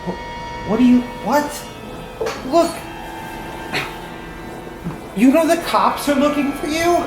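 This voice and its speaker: A woman's voice: sits high, animated, slow pace, roomy sound, over music, low-quality recording. A woman playing an exasperated new homeowner. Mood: incredulous. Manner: incredulous, confrontational. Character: exasperated woman who just moved into the house. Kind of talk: audio drama acting.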